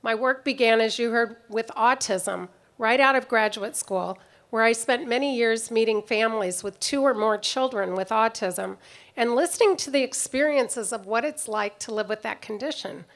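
A middle-aged woman giving a prepared commencement speech at an outdoor podium microphone, in a measured, reflective way. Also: fairly high pitch, a bit bright. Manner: measured, reflective delivery reading from notes. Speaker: commencement speaker. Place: outdoor ceremony stage.

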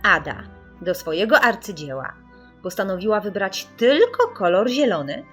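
A woman reading a children's story in an expressive, theatrical storytelling manner. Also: mid-range voice, moderate pace, slightly rough, soft background music. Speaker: audiobook narrator.

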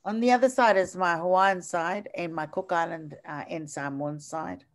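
An older woman with a New Zealand accent giving a lecture over slides on a video call, halting, with many fillers and restarts. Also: fairly low pitch, steady tone.

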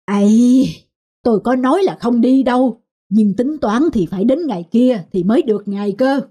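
A woman's voice: on the high side, moderate pace, mellow, husky. A woman voicing a superstitious, stubborn middle-aged male householder in a folk-tale cartoon, fussy, with music behind.

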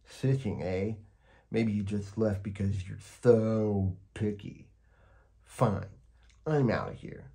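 A man's voice: fairly low pitch, vocal fry, mellow. A man voicing a big scruffy male storybook monster, slurping and whiny, dragging out his words as he tries to sound scary. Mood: whiny, sulky. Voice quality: slurping, slobbery. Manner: drawn-out, whining, trying to sound terrifying.

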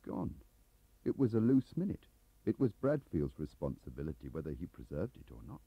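A man's voice: a bass voice, big pitch swings, normal speed, slightly rough, no echo, full character voice. A man voicing an aggrieved, lonely middle-aged English clerk who rambles defensively, in an audiobook on a dull cassette recording.

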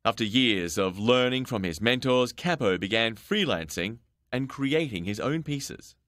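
A man's voice: medium pitch, animated, measured pace, fairly bright tone. A man reading scripted narration for a television documentary.

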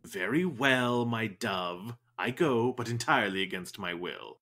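A man's voice: lowish voice, lively intonation, unhurried, pushed and bright, heavily acted. A man playing a florid, droll, fondly reluctant sidekick in a noir radio drama, with courtly arch speech. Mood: grudging, teasing.

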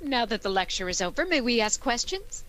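A woman playing a quick-witted schoolteacher with dry, wisecracking delivery in old-time radio comedy style. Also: a little high, sing-song, fairly bright tone. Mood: wry. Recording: noisy track with audience behind.

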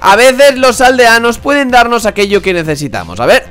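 A man from giving loud, excited Minecraft gameplay commentary, yelling and swearing as he plays. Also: very high-pitched, moderate pace, clean tone. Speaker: gaming youtuber.

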